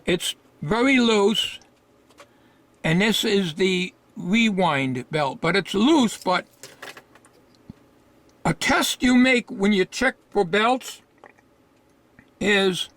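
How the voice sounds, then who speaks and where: A man giving an informal, unscripted hands-on repair demonstration, thinking aloud in a halting way. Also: sits high, animated, fairly bright tone.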